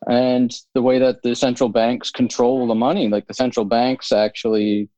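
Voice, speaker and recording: A man's voice: medium pitch, little pitch movement, moderate pace, dark tone, no room sound. A man talking as a podcast guest, rambling and restarting his thoughts as he explains. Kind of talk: casual conversation. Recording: dull lo-fi audio.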